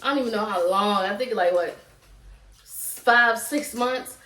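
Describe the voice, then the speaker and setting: A woman's voice: fairly high pitch, a little slow, pushed and bright, a little hiss or hum. A young adult woman with a Southern US accent telling a casual, repetitive story to viewers as a vlogger. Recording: roomy echo, distant from mic.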